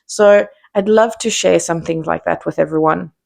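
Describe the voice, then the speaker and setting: A woman's voice: lowish voice, moderate pace, gravelly, darkish tone. A woman in her thirties with a South African accent, musing candidly to camera in an unscripted vlog, with lots of ums and restarts. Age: 30s. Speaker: vlogger. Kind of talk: unscripted reflective monologue to camera.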